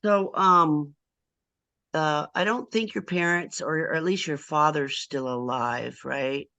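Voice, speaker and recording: A woman hosting an interview, effusive and a little halting as she asks questions. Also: low voice, pushed and bright. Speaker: host, senior editor.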